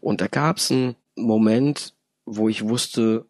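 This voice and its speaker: A man's voice: on the low side, slow, darkish tone, no echo, clean studio sound. A man in his thirties, a podcast guest, telling his personal story in a reflective way and often adding tag questions. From Germany. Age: 30s.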